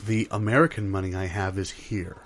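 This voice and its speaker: A man improvising a comedy role as a flustered foreign restaurant customer, halting, formal, apologetic and deferential. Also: deep voice, fairly even intonation, a bit bright, a little hiss or hum. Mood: hesitant, uneasy.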